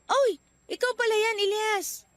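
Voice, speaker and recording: An actress with a Filipino accent playing a quiet, anxious young office woman in a radio drama. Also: extremely high pitch, steady tone, measured pace, dry recording, soft background music. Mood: shy, friendly.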